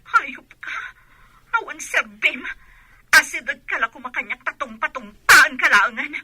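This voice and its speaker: A woman's voice: sits high, animated, a little slow, heavy background noise. A woman playing a hurt, proud young pregnant woman in an emotional Ilocano radio drama, with an Ilocano accent. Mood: angry, hurt.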